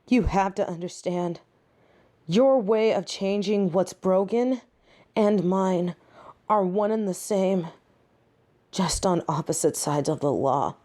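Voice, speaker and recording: A woman's voice: medium pitch, mellow, lightly acted. A woman playing a flirty, proud catgirl thief in an intimate audio roleplay, speaking dramatically and emotively to one listener.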